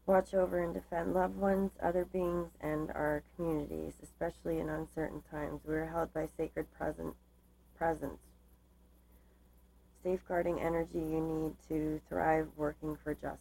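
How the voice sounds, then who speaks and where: Low, flat-voiced woman with a tiring, creaky voice. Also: normal speed, rough recording. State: slightly losing her voice. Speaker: tarot card reader. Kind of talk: tarot reading, part read aloud from cards, part informal explanation.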